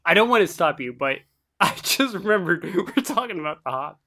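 A young woman co-hosting a casual chat, animated and talkative, often laughing. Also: fairly low pitch, brisk, over a noisy crowd. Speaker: podcast co-host.

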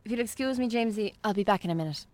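Actress as Irish bookshop woman: medium pitch, curious, good-humoured, gently playful, naturalistic radio drama. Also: rapid-fire, lightly acted. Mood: warm, sympathetic.

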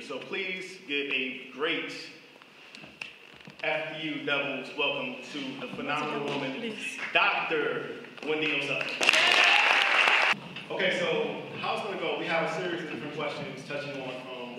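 A man hosting a live event in a casual, colloquial way, introducing and interviewing a guest before an audience. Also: medium pitch. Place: large indoor room at a live event. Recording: distant echoey hall, faint noise.

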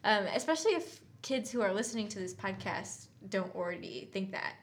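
A woman's voice: medium pitch, expressive, fairly quick. A woman co-hosting a podcast as a story writer, casually explaining her thinking in a reflective way.

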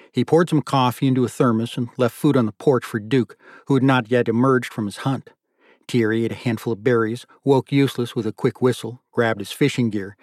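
A man's voice: mid-range voice, a little mellow, dry recording. Dry, closely recorded male audiobook narrator. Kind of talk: scripted literary fiction narration.

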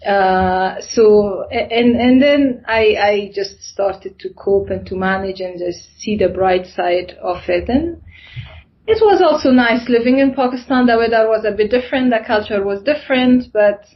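Through slightly dull, low-fidelity audio, a woman from Afghanistan talks in a middle-pitched voice. English is not her first language, and she tells personal stories as an interview guest, hesitating as she looks for the right words. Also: unhurried.

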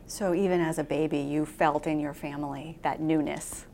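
A woman interviewer with a low, gravelly voice asks thoughtful questions and backs the guest up with quiet "mm-hmm"s. Faint noise hums under the recording. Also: steady tone, normal speed. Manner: attentive, frequent "mm-hmm" backchannels. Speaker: interviewer, host.

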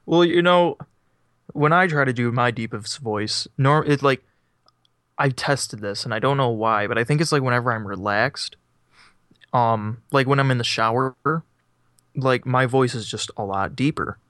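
A man's voice: mid-range voice, normal speed, fairly bright tone, dry recording, clean studio sound. Rambling young male podcast co-host. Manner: rambling, self-deprecating, laughs often. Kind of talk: casual chat. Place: on a couch at home. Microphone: microphone set up beside him.

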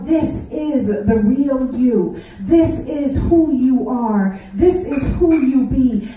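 Slow, fervent woman in a very echoey room. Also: a little high, dark tone. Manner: fervent, effusive, incantatory repetition. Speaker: guided meditation leader. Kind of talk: guided meditation with spoken affirmations. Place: large indoor room with a group present. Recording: very echoey room, distant mic, noisy.

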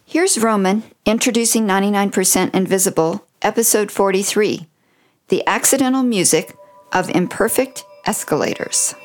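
A woman's voice: mid-range voice, moderate pace, over music. A woman acting as radio interviewer, reading short scripted links and questions.

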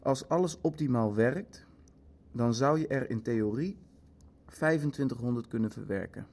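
A man's voice: mid-range voice, normal speed, gravelly, darkish tone. A man playing an eager, businesslike Nazi official in a Dutch radio play, matter-of-fact as he calculates. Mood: businesslike, calculating.

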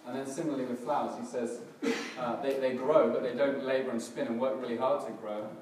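Middle-aged preacher, hoarse and crackly, casual and hesitant; distant mic, strong hall echo, noisy room. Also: male voice, mid-range voice, fairly quick. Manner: relaxed, self-deprecating, hesitant with fillers and restarts. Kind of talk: casual church sermon. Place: church hall, in front of a congregation. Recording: distant, very echoey hall with background noise.

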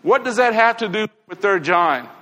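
A man's voice: high-pitched, expressive, moderate pace, fairly bright tone, in a large hall. A man from Tennessee preaching a morning sermon, earnest and conversational, speaking straight to his congregation and slipping into prayer. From Tennessee, USA. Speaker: preacher giving a morning lesson.

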